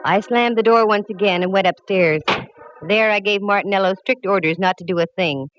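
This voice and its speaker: A woman's voice: medium pitch, close to an everyday voice. A woman playing a wry hardboiled lady detective. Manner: wry, hardboiled, quick with quips. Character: wisecracking woman private eye, young adult. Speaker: narrator. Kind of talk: 1940s radio acting. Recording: dull old radio broadcast with faint music.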